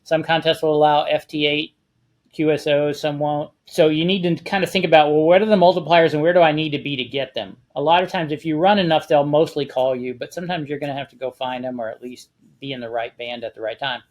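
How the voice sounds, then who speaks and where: Male panelist, slightly high voice, conversational explainer handing out practical tips. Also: fairly even intonation, quick pace. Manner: conversational, giving practical advice. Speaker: panelist on a ham radio discussion show. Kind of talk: casual explanatory talk.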